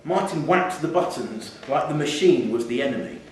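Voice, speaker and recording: Male narrator, wry and measured, reading live fiction, heavily echoing room, mic at a distance. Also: medium pitch, expressive. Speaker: short story reader. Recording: echoey theatre hall, distant mic, faint noise.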